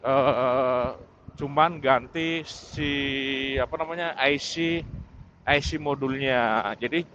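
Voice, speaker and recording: A man's voice: medium pitch, slow pace, pauses often, a bit bright. Man vlogging over vehicle noise, muffled mic. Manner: hesitant, rambling, repeats himself. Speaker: motovlogger reviewing his camera. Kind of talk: casual unscripted vlog chat. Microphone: wireless mic through helmet intercom. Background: vehicle and road noise.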